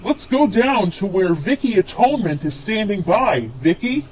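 A woman's voice: medium pitch, very animated, moderate pace, crowd noise, close to an everyday voice, low-quality recording. A woman playing a cheesy male sports anchor, giving hyped, emphatic play-by-play in a comedy sketch. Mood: brisk, excited. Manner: hyped, emphatic announcer delivery. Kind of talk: mock sports broadcast commentary.

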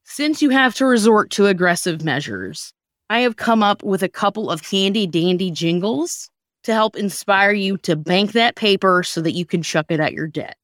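A woman's voice: mid-range voice, lively intonation, moderate pace, no room sound, clean studio sound. A woman hosting a podcast, chatting casually with wry, sarcastic humor. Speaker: podcast host. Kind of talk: casual comedic chat.

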